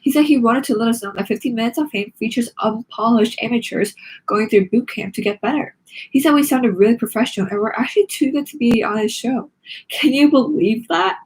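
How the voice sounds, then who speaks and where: A woman voicing an excitable, loyal schoolgirl best friend from a storybook, gushing and eager. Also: fairly high pitch, fairly quick, voice pushed up, close to an everyday voice, poor audio. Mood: excited.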